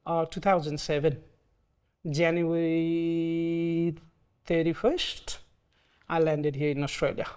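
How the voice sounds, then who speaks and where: A man in his thirties from Nepal with a Nepali accent, speaking hesitantly with many pauses in an oral history interview. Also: a little high, level tone, clean tone. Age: 30s. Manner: soft-spoken, hesitant, frequent ums.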